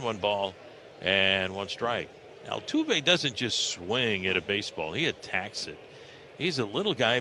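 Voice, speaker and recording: Bright-voiced American baseball radio announcer, man. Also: mid-range voice, slight background noise. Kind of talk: live sports commentary. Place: broadcast position at an open-roof ballpark.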